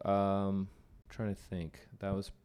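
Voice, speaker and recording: Deep-voiced man musing casually. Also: takes it slowly, smooth. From United States. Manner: relaxed, musing, trailing off mid-thought. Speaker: podcast host. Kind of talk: casual conversation.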